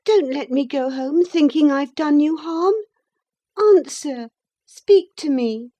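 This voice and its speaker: A woman's voice: sits high, takes it slowly, mellow, pitched above the performer's own voice. A woman voicing a hot-tempered young girl in an audiobook, passionate and crying out. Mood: pleading. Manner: passionate, cries out. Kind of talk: audiobook character reading.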